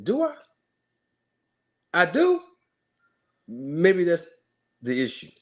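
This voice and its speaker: A man's voice: on the high side, wildly swooping pitch, drawn-out words. A male channel host giving an emphatic commentary rant, mocking critics with repeated rhetorical questions.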